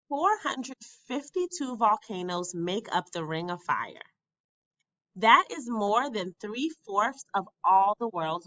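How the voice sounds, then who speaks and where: Woman, lively pitch, slow educational read-aloud, careful enunciation, dull lo-fi recording. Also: no echo. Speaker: teacher reading a textbook aloud.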